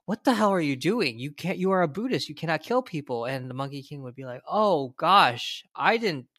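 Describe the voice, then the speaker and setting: A man with an American accent chatting as a podcast co-host, often halting and rephrasing himself as he goes. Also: a little high, expressive, a bit bright, dry recording, clean studio sound. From United States. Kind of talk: casual conversational discussion.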